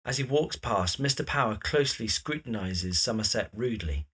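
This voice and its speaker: Husky male narrator, lively intonation. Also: on the low side, normal speed. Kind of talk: scripted present-tense drama narration.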